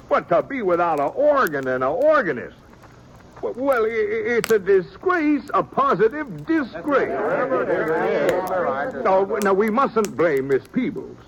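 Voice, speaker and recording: An actor playing a fussy, wordy small-town preacher in an old radio drama, pompous and prone to stammering. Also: male voice, sits high, fairly bright tone, heavily acted, rough recording. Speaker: reverend. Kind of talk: 1950s radio drama acting. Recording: old radio recording with faint hiss.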